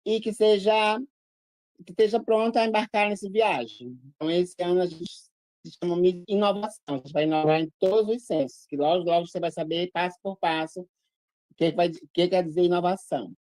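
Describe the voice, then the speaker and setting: A woman's voice: fairly low pitch, a little fast, no room sound, low-quality recording. A woman pageant coordinator answering interview questions over a video call, repeating phrases as she searches for words. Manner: warm, repeats herself while searching for words.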